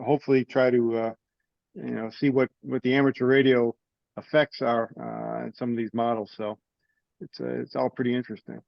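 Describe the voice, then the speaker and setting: Muffled, very dry call audio carries a man's voice at an average pitch. He speaks casually, in a rambling and somewhat hesitant way, like a participant in an online meeting. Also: dry recording. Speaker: teleconference participant. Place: online teleconference. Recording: dull, dry teleconference audio.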